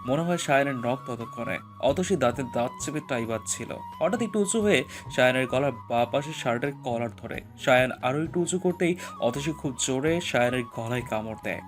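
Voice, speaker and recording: A man narrating romantic fiction as a scripted audio story, with expressive, emotional storytelling. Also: medium pitch.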